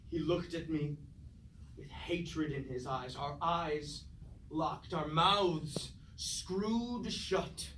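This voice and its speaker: Casting: male actor as anguished robot warrior, tragic stage style, measured pace, distant echoing recording. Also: on the high side, fairly bright tone. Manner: declamatory, archaic poetic phrasing. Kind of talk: staged reading of mock Greek tragedy. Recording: distant roomy stage recording, faint noise.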